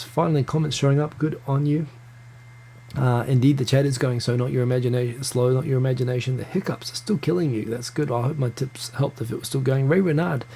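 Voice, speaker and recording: A man's voice: medium pitch, gravelly, dark tone, a little hiss or hum. A New Zealand man in his thirties hosting a casual live stream, reading out viewer comments with chatty restarts. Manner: chatty, stumbling restarts, greets viewers by name. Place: home room in Tokyo.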